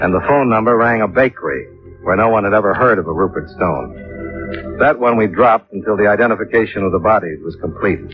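An actor playing a sober, businesslike investigator in a hardboiled vintage radio drama, with a clipped, matter-of-fact delivery. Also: male voice, fairly low pitch, close to an everyday voice. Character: sober, businesslike male investigator narrating his case. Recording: muffled old radio broadcast.

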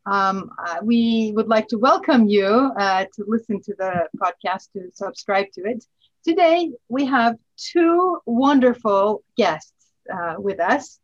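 Woman hosting a podcast, kinda halting, lots of uhs, muffled sound. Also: mid-range voice, expressive. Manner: halting, formal delivery with frequent hesitations. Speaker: podcast host, society president. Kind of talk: formal introductions and casual chat. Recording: dull lo-fi audio.

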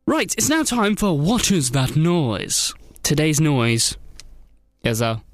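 A man hosting a radio show, chatting in a jokey, playful way with quick asides and laughs. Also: fairly high pitch, very animated, dry recording, clean studio sound. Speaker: radio host. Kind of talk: casual radio chat.

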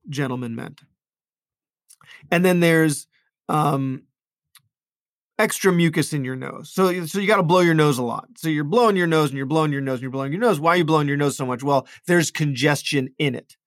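A man's voice: on the high side, moderate pace, a bit bright, no echo. Chatty male ENT doctor, dry sound. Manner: thinking aloud, repeats words and restarts often. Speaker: ENT physician. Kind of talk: conversational talk about patient cases.

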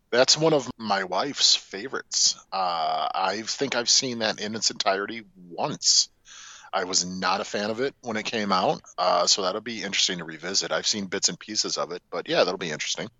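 A man with a lowish, bright and forceful voice co-hosts a podcast. He chats casually with a wry, informal manner. The recording is very dry and close. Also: no room sound, dull audio. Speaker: podcast co-host. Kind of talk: casual movie podcast chat.

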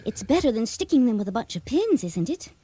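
A theatrical schoolgirl in a radio drama. Her voice is fairly high with a husky edge. She speaks with flustered, affected formality, like a teenager hopelessly in love. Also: big pitch swings, fairly quick, dark tone. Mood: nervous, earnest.

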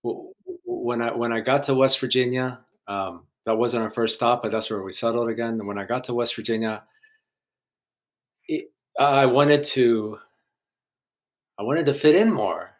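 A young man from Guatemala, a filmmaker guest on a podcast, talking in a halting, hesitant way with many restarts. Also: mid-range voice. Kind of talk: casual podcast interview.

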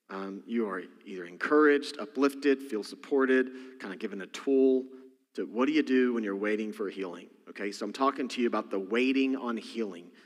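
A man with a Texas accent, a senior pastor preaching a sermon with folksy, joking asides. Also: fairly low pitch. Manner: folksy, jokey storytelling with asides. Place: church sanctuary. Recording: long church hall reverb.

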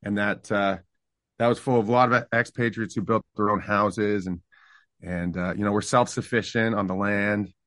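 A middle-aged man from Canada, a singer-songwriter, talking reflectively about his past in a relaxed interview, with fillers and restarts. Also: lowish voice, normal speed, dry recording, studio quality.

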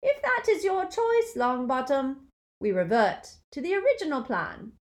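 A woman reading aloud and voicing a cold, gloating male dark wizard with a soft, silky, hissing menace. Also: mid-range voice, very animated, takes it slowly, voice pushed up. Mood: cold, menacing.

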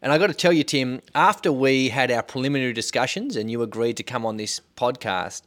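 A man's voice: mid-range voice, fairly quick, fairly bright tone. A man hosting a podcast interview, talking earnestly and telling a personal story before asking his guest a question. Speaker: podcast host.

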